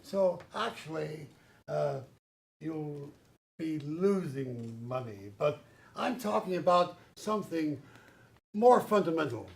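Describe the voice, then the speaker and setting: A man's voice: on the high side, animated, gravelly, heavily acted. A man in a satirical staged reading playing a condescending, coldly cynical middle manager. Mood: condescending, menacing. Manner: condescending, deliberate.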